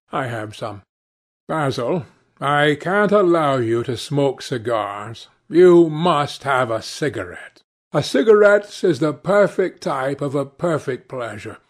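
A man's voice: a little high, voice pushed up, heavily acted. A man voicing a witty, cynical aristocrat in an audiobook, with a nonchalant, pompous, epigrammatic manner. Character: languid witty cynical male aristocrat, urbane and teasing. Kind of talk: audiobook character dialogue.

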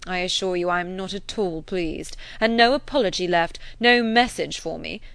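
A woman's voice: on the low side, moderate pace, creaky, fairly bright tone, no echo. A fussy young clergyman who complains at length in an aggrieved, self-pitying tone, voiced by a woman reading aloud. His voice is lifted a little and pushed forward. The sound is dry and close.

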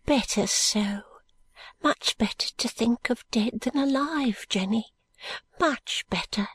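A poor, grieving woman consoling a friend in a hushed, breathy voice, as a woman puts her on for a storybook reading. Her pitch sits well above the reader's own, and the sound is dry. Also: takes it slowly, no room sound.

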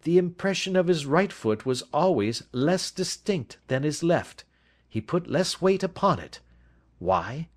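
A precise, lecturing Victorian detective lays out his reasoning with sharp emphasis, voiced by a male audiobook reader. His voice sits a little high and swings widely as he expounds, step by step. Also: sing-song, a little slow.

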